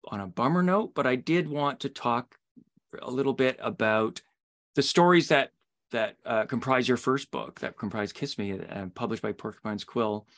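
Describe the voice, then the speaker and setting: Male podcast interviewer, average pitch, conversational, feels his way through sentences with pauses. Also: a bit bright.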